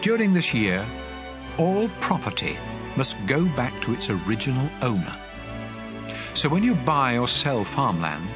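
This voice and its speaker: Guy acting as god in a bible audio drama, stern lawgiver, solemn and measured, loud music behind. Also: medium pitch, lightly acted. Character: God of Israel, stern commanding male lawgiver. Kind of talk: dramatized scripture reading.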